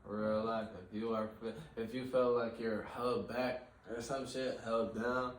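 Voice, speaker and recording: A man's voice: mid-range voice, level tone, a little slow. A man in his early twenties chatting casually as a podcast host, peppering his talk with slang and "bro". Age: 20s. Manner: slangy, says bro constantly. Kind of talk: casual chat. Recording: echoey room from far off with faint hiss.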